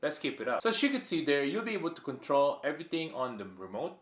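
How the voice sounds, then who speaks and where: A man's voice: fairly high pitch, big pitch swings, fast, fairly bright tone. A man narrating a casual hands-on product demo, pointing things out piece by piece in short phrases. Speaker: product reviewer.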